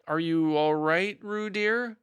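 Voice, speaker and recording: A man voicing a brisk, motherly female kangaroo in a storybook, soothing but gently firm. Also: fairly high pitch, clear, resonant tone, dry recording, lo-fi sound, voice pushed up. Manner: cooing, coaxing, mildly stern.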